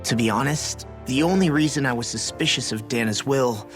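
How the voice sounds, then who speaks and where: A sincere young male fighter from a fantasy game, performed by a man, with a mid-range voice. He sounds loyal and slightly bashful. Music is clearly audible behind his speech. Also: mid-range voice, a little fast, close to an everyday voice. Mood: awkward, flustered. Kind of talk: video game cutscene voice acting.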